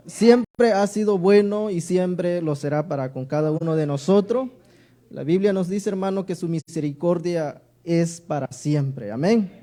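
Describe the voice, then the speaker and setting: Male preacher, southern Mexican accent. High, mellow voice, deliberate delivery of sermon and scripture. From Oaxaca, Mexico. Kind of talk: sermon with Bible reading.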